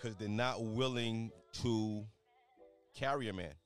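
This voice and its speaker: Guy arguing his point on a podcast, earnest, trips over words a lot, a little off mic, soft music under it. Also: lowish voice, slow pace. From United States, Haitian parents. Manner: earnest, repeats "you know what I mean", stumbles over words. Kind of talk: casual podcast debate. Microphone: told to talk closer to the mic.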